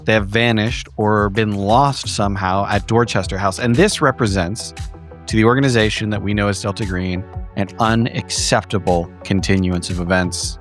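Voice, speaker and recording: Lowish-voiced man giving a dramatic recap. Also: steady tone, moderate pace. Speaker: player and recap narrator. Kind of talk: dramatic scripted recap narration. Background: background music.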